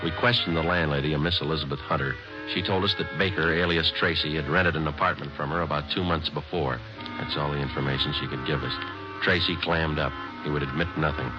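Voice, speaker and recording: Very deep and low, this is a weary, dogged police detective narrating in a flat, clipped deadpan. The old radio recording sounds dull, with music running loudly beneath him. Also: male voice, fast talker. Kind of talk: 1950s radio drama acting.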